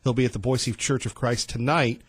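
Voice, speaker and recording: A man's voice: mid-range voice, fairly even intonation, normal speed, husky, no echo. A man hosting a talk radio show, conversational and asking leading questions of his guest. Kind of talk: conservative talk radio interview. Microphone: studio mic.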